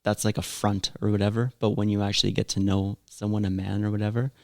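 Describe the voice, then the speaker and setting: A man's voice: lowish voice, level tone, fast talker, vocal fry, no room sound, studio quality. A man chatting casually as co-host, thinking aloud as he rambles and often restarting his sentences. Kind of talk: casual conversation.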